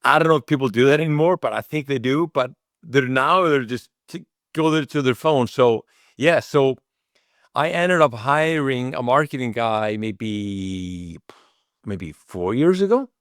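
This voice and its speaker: A man's voice: mid-range voice, animated, a little slow, no echo. Icelandic man chatting informally, close dry sound. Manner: informal, rambling, acts out quoted remarks. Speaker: interview guest, chiropractic clinic owner. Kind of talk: casual conversational interview answers.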